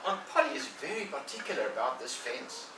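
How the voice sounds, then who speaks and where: A man's voice: fairly high pitch, huge pitch swings, a little fast, distant mic, noisy background, pitched above the performer's own voice. A man voicing a sly young boy who talks up his chore, in an echoey room away from the mic. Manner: coy, boastful, sly. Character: sly boy tricking a friend into work.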